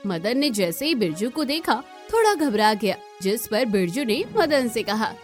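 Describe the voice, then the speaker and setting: A woman's voice: a little high, very animated, measured pace, faint music behind. A woman reading scripted narration for a cartoon moral story.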